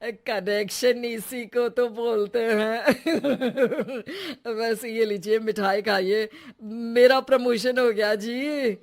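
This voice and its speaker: A woman's voice: a little high, fairly even intonation, drawn-out words, darkish tone. A woman playing a flirtatious, bumbling cartoon husband who stammers and gushes. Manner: stammering, gushing. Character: flirtatious, bumbling middle-class husband, eager and easily flustered. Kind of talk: comic cartoon dialogue.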